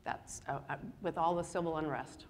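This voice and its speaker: A woman's voice: sits low, steady tone, normal speed, long reverb tail, a little hiss or hum. A middle-aged Canadian woman moderating a conference panel on stage with lapel mic, enthusiastic and upbeat. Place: indoor conference stage with audience.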